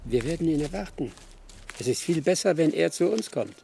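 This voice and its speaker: An older logger in a radio drama, with a gravelly, mellow voice. He speaks tersely and stubbornly, unflustered, over a faint hum. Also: on the high side, expressive, brisk. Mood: terse, determined. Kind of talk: German radio drama acting.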